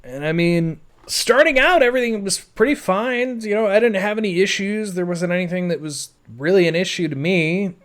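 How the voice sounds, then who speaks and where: A man's voice: sits high, moderate pace, hardly any pauses, a bit bright. A man telling his own life story in a casual, rambling, conversational audio-blog monologue, peppered with "like" and "you know". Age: adult. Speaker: podcaster.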